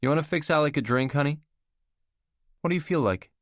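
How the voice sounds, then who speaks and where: A man reading a novel aloud, voicing a motherly woman friend who soothes and fusses in a raised, softer voice. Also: fairly quick, no room sound, studio quality, close to an everyday voice. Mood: soothing. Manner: soothing, higher voice.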